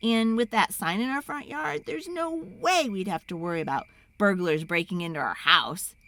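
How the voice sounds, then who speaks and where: A woman voicing an eager, pleading young child in an excitable, dramatic storybook read-aloud. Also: mid-range voice, voice pushed down. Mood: eager, boastful. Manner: wheedling, excitable, dramatic, drawn-out emphasis.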